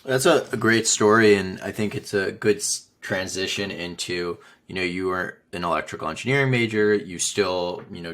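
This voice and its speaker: A man hosting a podcast, asking questions in a halting way with many "you know" fillers. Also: low-pitched, a bit bright. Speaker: podcast host. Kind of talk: interview questions.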